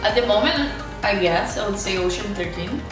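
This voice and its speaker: A woman's voice: medium pitch, expressive, brisk. A woman in her twenties chatting casually as a guest while eating. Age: 20s. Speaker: guest friend in a vlog. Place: indoor room at a table. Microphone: camera a few feet away. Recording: very echoey room, distant mic, music behind.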